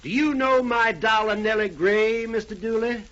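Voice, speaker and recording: An actor playing a courtly, formal old colonel with a Southern US accent in 1940s radio comedy style. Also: male voice, high voice, normal speed, fairly bright tone. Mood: genial, formal. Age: older. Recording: dull 1940s radio broadcast recording.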